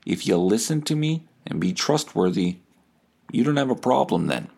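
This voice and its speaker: A man's voice: on the low side, sing-song, moderate pace, creaky, a little mellow, full character voice. A man voicing a doting, possessive woman of forty-nine, a coaxing online sugar mommy, as he reads out her messages in a comedy podcast.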